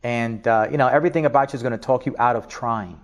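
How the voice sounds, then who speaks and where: A middle-aged man from Spanish Harlem with a New York accent, giving an animated interview, laughing often and restarting his sentences. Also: medium pitch, fast. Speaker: interview guest, business leader. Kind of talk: casual interview talk.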